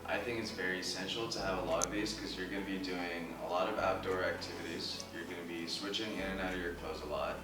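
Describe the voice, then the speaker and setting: Young man from Syria, very deep voice, friendly advice-giver; roomy distant-mic sound with background music. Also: fairly even intonation, fast, few pauses. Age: young adult. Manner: friendly, advising, addresses viewers as guys. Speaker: international student. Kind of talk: casual informational video.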